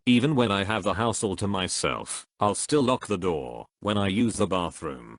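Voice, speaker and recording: A man reading online comments aloud in an even, steady way. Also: low-pitched, moderate pace, dry recording.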